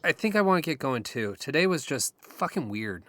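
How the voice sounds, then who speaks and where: A man's voice: a little high, big pitch swings, a little fast. An actor playing an uneasy, puzzled teenage boy in an audio drama. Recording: loud music bed under the voice.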